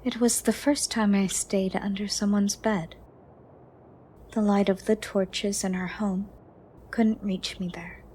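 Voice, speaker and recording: A woman playing a gentle, ancient female monster under the bed in a soft, breathy, partly whispered ASMR roleplay, formal and caring. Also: medium pitch, steady tone, a little hiss or hum, natural voice. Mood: wistful storytelling. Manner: slow, formal, caring, slightly stern.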